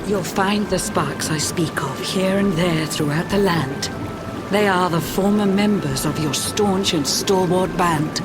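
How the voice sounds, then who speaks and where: A woman's voice: mid-range voice, natural voice. An actress playing a solemn female spirit who speaks in rhyming, incantation-like verse in a game. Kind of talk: game voice acting. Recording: loud music underneath.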